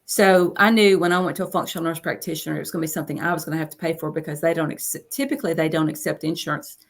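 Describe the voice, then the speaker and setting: A woman's voice: low voice, steady tone, rapid-fire. A woman in her fifties, a coach, talking about her experience as an interview guest in a chatty way and repeating phrases for emphasis. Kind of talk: casual interview storytelling.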